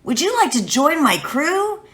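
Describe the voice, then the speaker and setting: Storybook cat captain, played by a woman: cool, hearty, raised lively pitch, dry close sound. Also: measured pace, no room sound, exaggerated voice. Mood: upbeat.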